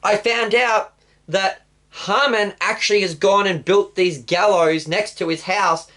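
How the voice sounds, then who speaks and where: A man voicing a dutiful royal official giving a measured report. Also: high-pitched, fairly even intonation, pushed and bright, voice pushed up. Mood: informing.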